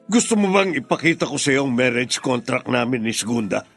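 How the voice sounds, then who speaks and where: A man's voice: medium pitch, lively intonation, brisk, creaky, a bit bright, slightly rough, soft background music, heavily acted. A man acting a stern, guilt-ridden middle-aged father in an emphatic radio melodrama style. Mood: confessing, insistent. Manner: emphatic, dramatic delivery.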